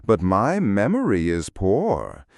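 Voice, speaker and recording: A man's voice: on the low side, huge pitch swings, slow pace, no echo, clean studio sound, full character voice. A man voicing a formidable old aunt with a cordial but imperious manner. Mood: cordial, dismissive.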